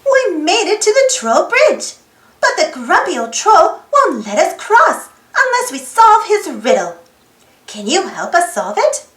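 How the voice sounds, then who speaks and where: A woman reading a children's picture book aloud in an animated way, putting questions to young listeners. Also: very high-pitched, a little slow, reverberant. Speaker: storybook read-aloud host.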